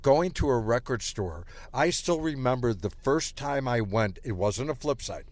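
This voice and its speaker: A man's voice: medium pitch, expressive, normal speed, dry recording. An older man with a Chicago accent who chats with wry, teasing humor. He is a podcast guest at a restaurant, and the sound is dry and close. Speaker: guest, former radio marketer. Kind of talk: casual chat.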